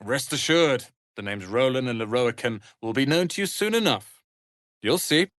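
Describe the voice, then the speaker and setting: Casting: a man to play a haughty young male apprentice mage for a fantasy game; grandiose, crisp diction, medium register, very dry sound. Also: mid-range voice, expressive, normal speed, a bit bright, dry recording, close to an everyday voice. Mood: proud, pompous. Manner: pompous, articulate, theatrical. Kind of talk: fantasy video game voice acting.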